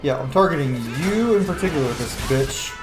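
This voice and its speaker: A man's voice: a little high, lively intonation, brisk. A man doing casual let's play commentary, playful and sweary, talking to the game's characters in a roomy-sounding space. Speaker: video game streamer. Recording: roomy mic with game music behind. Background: game music.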